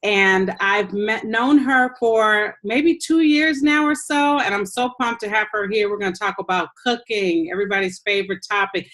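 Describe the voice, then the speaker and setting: Woman podcast host, health coach, excited and easygoing chat. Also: medium pitch. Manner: warm, enthusiastic, unhurried.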